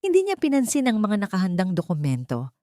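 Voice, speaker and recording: A woman's voice: medium pitch, huge pitch swings, fairly quick, mellow, no room sound, studio quality. A woman giving a dramatic scripted narration for an audio drama.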